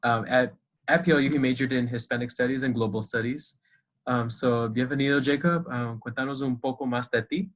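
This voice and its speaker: A man's voice: mid-range voice, fairly quick. A man co-hosting a podcast over a video call, upbeat and chatty, with frequent fillers. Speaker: co-host.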